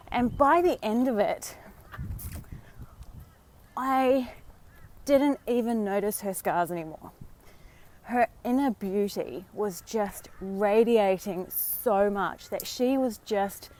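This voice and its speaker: An Australian woman vlogging live on a walk outdoors, slightly hoarse from a sore throat, talking in a chatty, encouraging way with many fillers. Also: medium pitch, darkish tone, slight background noise. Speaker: vlogger and dating coach. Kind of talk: casual live-stream talk.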